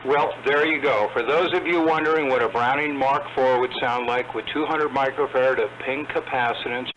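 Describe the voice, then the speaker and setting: A man's voice: medium pitch, steady tone, brisk, muffled recording, heavy background noise. A man narrating an instructional demonstration as a radio repair technician.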